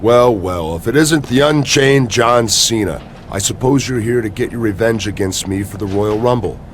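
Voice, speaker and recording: A man's voice: on the low side, moderate pace, a little hiss or hum, natural voice. A man playing an arrogant, scheming wrestling champion who taunts and mocks theatrically in a video game cutscene. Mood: smug taunting. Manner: smug, mocking, theatrical.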